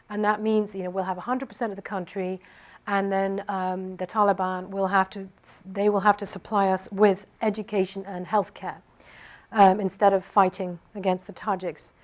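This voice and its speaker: A woman speaking on a panel discussion, answering a question with many hesitations and restarts. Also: medium pitch.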